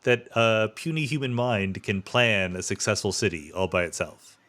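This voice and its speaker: A man's voice: on the low side, normal speed, a bit bright. A man hosting a podcast in a conversational science interview, informal and thinking aloud as he talks. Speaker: podcast host.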